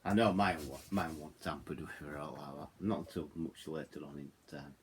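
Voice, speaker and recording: Deep-voiced Yorkshire man, casual and rambling. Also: steady tone, normal speed, slight background noise. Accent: Yorkshire English. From Yorkshire, England. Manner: rambling, digressive, repeats himself. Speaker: hobbyist radio reviewer. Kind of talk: casual hands-on product walkthrough.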